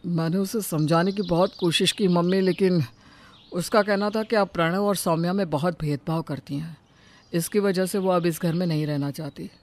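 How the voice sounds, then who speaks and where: An actor voicing a mild young husband who tries to calm his wife and mother. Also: mid-range voice, moderate pace, close to an everyday voice.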